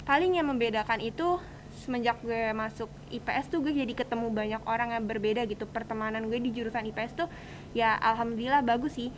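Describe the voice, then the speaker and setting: A teenage young woman with a high voice and a Jakarta Indonesian accent. She chats in casual slang as the host of a student podcast. A faint low rumble sits behind her voice. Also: poor audio. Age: teens.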